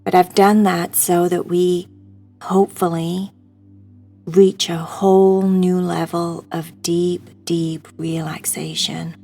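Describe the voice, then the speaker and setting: A woman guiding a sleep meditation in a hushed, soothing, breathy voice that often nears a whisper. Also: on the low side. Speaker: meditation guide.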